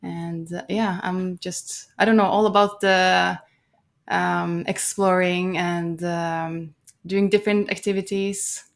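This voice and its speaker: A woman with a Norwegian accent, a guest chatting in a relaxed, loose way in an interview. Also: fairly low pitch, slow, vocal fry. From Norway. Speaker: guest adventurer. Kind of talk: casual interview chat.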